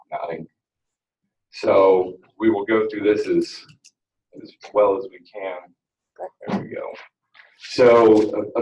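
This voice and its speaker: A man giving a meeting presentation with a loud voice, loose and rambling with many fillers and restarts. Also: low-pitched. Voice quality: self-described very loud voice. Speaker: energy audit presenter. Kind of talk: presentation at a school board meeting. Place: school district board meeting room. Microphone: room microphone at some distance. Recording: echoey room, distant dull audio.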